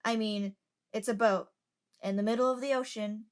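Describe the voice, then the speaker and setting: Girl doing a chatty young passenger, preachy, kinda high voice. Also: steady tone, moderate pace, lightly acted. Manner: chatty, lecturing. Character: curious young woman passenger, outspoken sympathizer. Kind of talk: amateur audio drama acting.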